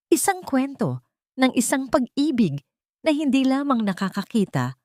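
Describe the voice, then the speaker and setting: A woman narrating a scripted emotional story in a slow, solemn, sentimental manner. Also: on the low side, a little mellow, no room sound, studio quality.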